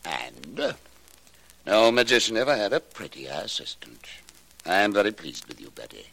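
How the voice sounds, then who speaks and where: An actor in a 1940s radio drama playing a vain, dismissive stage magician, glib and condescending. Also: male voice, on the low side, very animated, normal speed, gravelly, fairly bright tone, husky, heavily acted.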